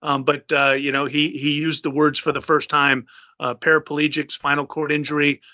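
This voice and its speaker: A man's voice: fairly high pitch, little pitch movement, normal speed, sharp tone, no room sound, highs cut off. A man in his fifties, a retired naval officer, giving an interview and recounting events with many fillers and restarts. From United States. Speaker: guest.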